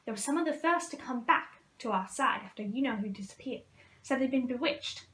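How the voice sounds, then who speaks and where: A woman plays a young schoolboy in a storybook, fairly high in pitch. He is blunt and grumbles with a scowl. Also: expressive, fairly quick, a bit bright. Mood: grumbling.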